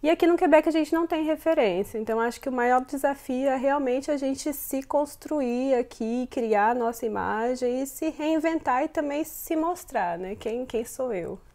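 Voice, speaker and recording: Higher-pitched Brazilian woman guest. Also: normal speed, few pauses, darkish tone. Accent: Brazilian Portuguese. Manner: thoughtful, frequent fillers and self-repeats. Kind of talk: casual interview answers.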